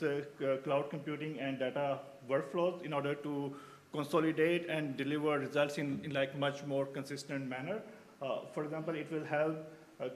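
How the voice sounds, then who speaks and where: Hesitant man from Pakistan giving a conference talk. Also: a little high. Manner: hesitant, many fillers and restarts. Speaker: researcher presenting at a symposium.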